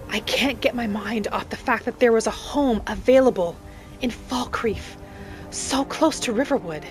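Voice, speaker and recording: A woman voicing a gentle, adventurous female wood elf traveller in a video game roleplay, musing softly aloud in character over music. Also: fairly high pitch, moderate pace, close to an everyday voice. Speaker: video game streamer role-playing.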